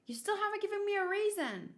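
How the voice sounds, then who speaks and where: A woman acts out a defiant young woman soldier in a manga story. She is irritable and argumentative, with a snappy, high-pitched delivery. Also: big pitch swings, fast. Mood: indignant.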